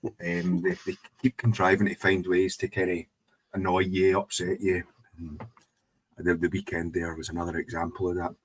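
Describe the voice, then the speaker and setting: A man co-hosting a podcast in relaxed chat, speaking hesitantly with ums over a remote call. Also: deep voice, normal speed, husky, rough recording. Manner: laid-back, hesitant with ums. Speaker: podcast co-host.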